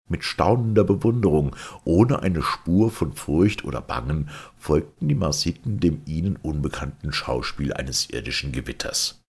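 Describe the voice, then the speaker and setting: A man's voice: very deep voice, gravelly, husky. A man reading a vintage science fiction story aloud as scripted literary narration. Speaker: audiobook reader.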